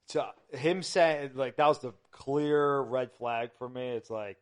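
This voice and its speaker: A man co-hosting a podcast, arguing his point in a halting, stop-start way. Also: medium pitch. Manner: argumentative, halting, restarts a lot. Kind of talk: casual podcast argument.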